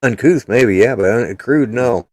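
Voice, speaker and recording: A man's voice: medium pitch, a little slow. An older man hosting a casual political chat show, talking in a blunt, rambling, opinionated way. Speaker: podcast host.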